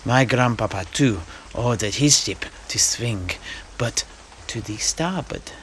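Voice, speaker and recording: A man playing a genial old-world seafarer who recounts his grandfather's voyages in measured storyteller's phrasing. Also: medium pitch, animated, a bit bright, a little hiss or hum. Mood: dramatic. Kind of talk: in-character fantasy storytelling. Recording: music playing under the voice.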